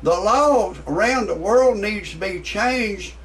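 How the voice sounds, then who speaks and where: A cussing, blustering man in his sixties with a drawl, delivering a comic rant. His voice sits high for a man. He sounds some distance from the mic. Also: lively intonation, takes it slowly, a bit bright. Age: 60s. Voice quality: profane, blustering. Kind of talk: ranting stand-up monologue.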